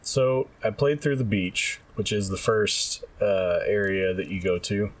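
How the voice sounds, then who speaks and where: A man co-hosting a casual video game podcast, talking in a halting, thinking-aloud way. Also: fairly low pitch, fairly even intonation. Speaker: podcast co-host. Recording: slightly distant from the mic.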